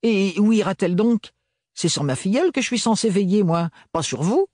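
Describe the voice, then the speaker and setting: A man voicing a prim, irritable older aunt who speaks in an indignant, sharp way. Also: high voice, expressive, brisk, dry recording, studio quality, pitched above the performer's own voice.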